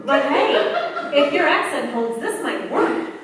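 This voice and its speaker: A woman's voice: high voice, lively intonation, measured pace. A woman playing an eager, questioning young female agent in a staged radio play. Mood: amused. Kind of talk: staged 1940s-style radio play acting. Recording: echoey hall from far off, faint noise.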